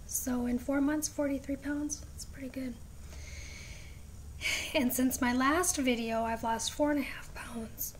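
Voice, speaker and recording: A woman vlogging a casual update, chatty and rambling with frequent ums, her voice raspy at times. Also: sits high, steady tone, unhurried, slight background noise.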